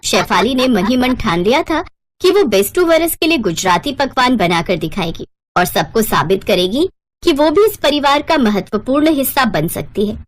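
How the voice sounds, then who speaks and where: A woman's voice: on the high side, lively intonation, moderate pace, a little hiss or hum. A woman narrating a scripted moral bedtime story as a storyteller.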